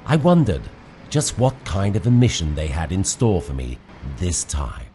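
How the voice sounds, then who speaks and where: A man's voice: sits low, sing-song, moderate pace, dark tone, slight background noise. A man playing a bumbling, deferential British secret agent in a comic spy radio play, in an earnest, mock-serious way. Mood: mock-serious narrating. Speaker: narrator and lead actor.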